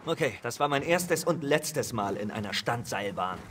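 A man's voice: medium pitch, animated, normal speed, noisy background, close to an everyday voice. An actor playing a talkative young male soldier with sarcastic remarks in a German game dub. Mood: quippy. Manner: chatty, sarcastic comments.

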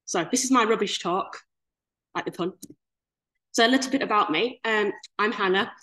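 A woman's voice: medium pitch, moderate pace, sharp tone. A young woman from Yorkshire, her accent plain, talks through a slideshow on a video call. She is casual and chatty, with a self-deprecating sense of humour. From Leeds, Yorkshire, England. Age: 20s. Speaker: guest speaker. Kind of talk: casual webinar presentation.

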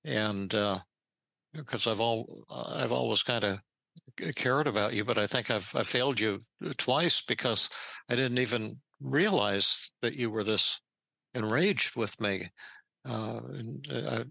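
Older guy therapist, gravelly bright voice, stumbles and repeats words, dry sound. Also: mid-range voice, no room sound. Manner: halting, many repeated words. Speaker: podcast host and therapist. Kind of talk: therapy training role-play.

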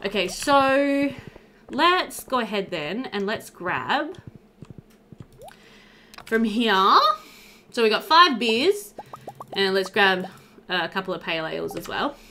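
A woman's voice: mid-range voice, very animated, slow pace, pauses often, noisy background. A young woman giving chatty, informal, giggly commentary while playing a video game. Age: young adult. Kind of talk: casual let's play commentary.

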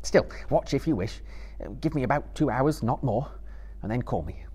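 Man doing a gentle, husky hobbit gentleman. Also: medium pitch, animated, voice pushed up. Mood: patient. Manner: polite, patient, measured. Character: kind, weary, well-spoken gentle hobbit master.

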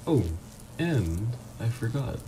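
Male actor as psychopathic, flirty villain ex for ASMR roleplay; dark, mellow tone with swooping intonation, smug and teasing. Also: mid-range voice, sing-song, a little hiss or hum, low-quality recording. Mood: flirty, taunting. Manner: smug, drawn-out, intimate teasing.

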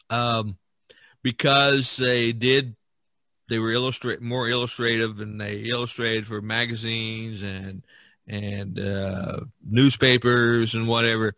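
A man hosting a podcast, telling stories casually and enthusiastically, with a halting delivery. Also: medium pitch, creaky, pushed and bright, no echo. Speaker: podcast host. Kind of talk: casual conversational storytelling.

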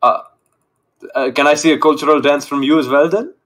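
A young man on a video call through a gaming headset, joking and boasting in a loud, cocky way. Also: fairly high pitch, steady tone, brisk. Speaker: online date contestant. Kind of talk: casual video call banter.

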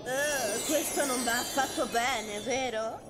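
A woman playing a spoiled, excitable young heiress in an animated video game, gushing, theatrical and fussy. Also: a little high, slow. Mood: excited, fussy. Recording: music behind the voice.

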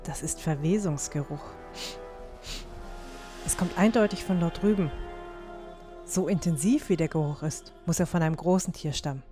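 A woman's voice: deep voice, normal speed, long pauses, over music, close to an everyday voice. A woman playing a genteel, curious young traveller in a fan-made fantasy audio drama. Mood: curious, assured.

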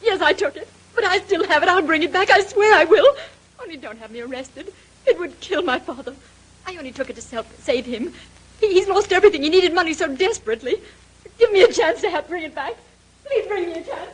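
Very high-voiced, desperate young woman. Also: expressive, a little fast. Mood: tearful, pleading. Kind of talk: 1930s radio acting. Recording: noisy old 1930s radio recording.

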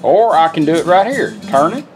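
A man's voice: on the high side, expressive, a little fast, over music, rough recording. A man giving a casual, folksy, step-by-step product demonstration in an informal way. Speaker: vintage car radio restorer demonstrating. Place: indoor workshop bench.

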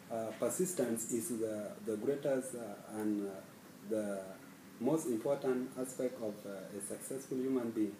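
A man's voice: fairly low pitch, dark tone, slight background noise. Earnest young man from South Sudan. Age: young adult. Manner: earnest, hesitant with many pauses and fillers. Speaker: scholarship student. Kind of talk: self-introduction to camera. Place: small office, indoors. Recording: echoey room, slightly far from mic.

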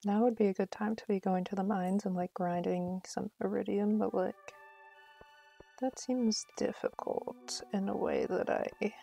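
A woman streams a game in a relaxed, crackly voice. She chats casually as she plays, thinking aloud and poking fun at herself. Faint music plays behind her. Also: mid-range voice, unhurried, vocal fry. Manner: self-deprecating, thinking aloud. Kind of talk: casual live stream gameplay chat.

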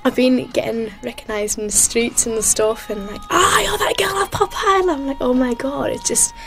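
A teenage girl talking casually and excitedly about her experiences, acting out what people say to her. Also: high voice, normal speed, soft background music, low-quality recording. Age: teens. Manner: excited, chatty, acts out quotes. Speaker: contestant. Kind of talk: casual chat. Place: recording studio.

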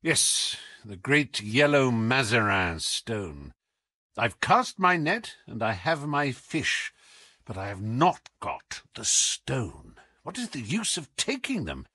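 Man acting a brilliant, imperious British detective, very animated. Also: medium pitch, no echo, clean studio sound, full character voice. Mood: animated, wry. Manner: theatrical, brisk, commanding, wry. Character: brilliant, whimsical, imperious male English detective. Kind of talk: audiobook character reading.

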